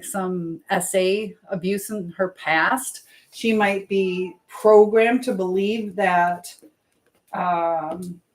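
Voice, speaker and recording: Middle aged american lady on a live stream, just rambling casually and replying to chat, normal mid pitch. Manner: rambling, chatty, hopping between asides and replies. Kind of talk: casual live chat, answering viewer comments.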